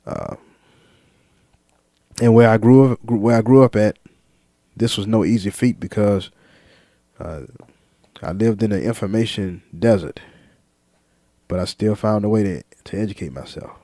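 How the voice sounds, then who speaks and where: A man talking freely to his viewers in a reflective monologue, slow and deliberate, listing ideas one word at a time with some fillers and repeats. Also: on the low side, dark tone, no room sound, studio quality. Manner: lists ideas in measured single words. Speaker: vlogger.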